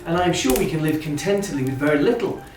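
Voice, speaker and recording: An actor playing a kindly, hopeful Victorian gentleman and brother in his thirties, with formal, earnest phrasing in a literary drama. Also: a little high, animated, fast talker, close to an everyday voice. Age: 30s. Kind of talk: stage-style literary radio drama acting. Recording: very echoey, distant, music behind.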